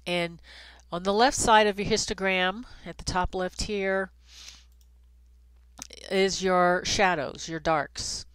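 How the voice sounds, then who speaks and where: A middle-aged woman with a stuffy, congested nose giving a conversational photography software tutorial, with frequent ums and restarts. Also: on the low side, smooth, dry recording, rough recording. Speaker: photography instructor. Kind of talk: screen-recorded software tutorial. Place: Kansas City, Missouri, USA.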